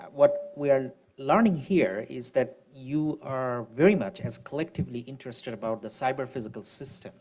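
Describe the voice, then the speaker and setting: Man explaining technical ideas with fillers. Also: medium pitch. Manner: explanatory, frequent "you know" fillers. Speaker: panelist. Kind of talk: technical panel discussion.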